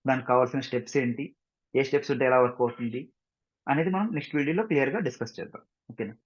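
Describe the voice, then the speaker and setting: A man with an Indian accent giving an informal software tutorial, repeating himself, mixing in English terms and often checking that listeners follow. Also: medium pitch, expressive, racing, husky, lo-fi sound. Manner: repetitive, frequent check-in questions, mixes English terms into speech. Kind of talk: screen-share tutorial, informal explanation.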